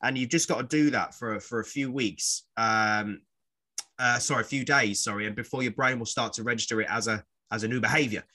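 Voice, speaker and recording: Fast-talking man in his forties. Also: medium pitch, bright, forceful tone. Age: 40s. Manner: rambling, repeats words, acts out quoted voices. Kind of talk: casual podcast chat.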